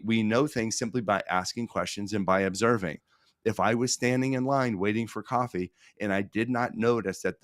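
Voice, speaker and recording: A middle-aged American man speaking as a podcast guest, telling stories conversationally in an earnest, emphatic way, repeating phrases and pausing for effect. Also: lowish voice, dry recording, clean studio sound. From Pittsburgh, United States. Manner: earnest, emphatic, with repetition and dramatic pauses.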